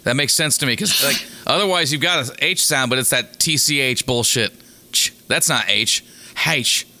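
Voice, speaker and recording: A hoarse man with a raspy voice, chatting casually as a podcast co-host, rambling and joking with many restarts. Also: mid-range voice, moderate pace, bright, forceful tone. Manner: rambling, jokey, restarts a lot. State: hoarse from singing. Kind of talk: casual chat.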